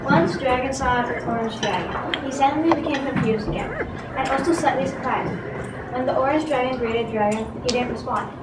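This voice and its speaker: High-voiced woman storyteller, very noisy recording. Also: a little fast, pauses often. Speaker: narrator. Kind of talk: amateur readers theatre storytelling. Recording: loud background noise, echoey room, distant mic.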